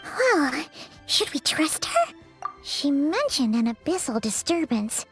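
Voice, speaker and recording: A woman voicing a tiny, excitable, childlike fairy companion in a video game, squeaky and exclamatory, loyal and talkative. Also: slow, soft background music, exaggerated voice. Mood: wary, pondering. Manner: refers to self in third person, exclamatory.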